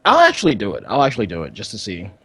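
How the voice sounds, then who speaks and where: A man's voice: on the low side, huge pitch swings, very fast, sharp tone, breathy edge. A man co-hosting a gaming podcast, chatting casually and often repeating his phrases as he goes. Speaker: podcast co-host.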